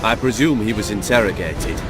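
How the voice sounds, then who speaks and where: A man's voice: lowish voice, animated, moderate pace, natural voice. Male actor as sneering game monarch, swooping intonation, condescending, theatrical, music behind. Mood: sardonic. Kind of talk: video game dramatic dialogue.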